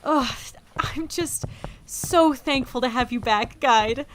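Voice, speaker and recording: A woman playing a flustered, emotional young female agent in an audio drama, expressive and stammering. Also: high voice, slow, heavy background noise. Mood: relieved.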